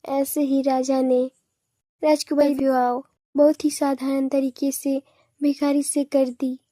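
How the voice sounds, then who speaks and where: A woman's voice: high-pitched, level tone, takes it slowly, warm tone, no echo, clean studio sound. A woman narrating a scripted children's fairy tale.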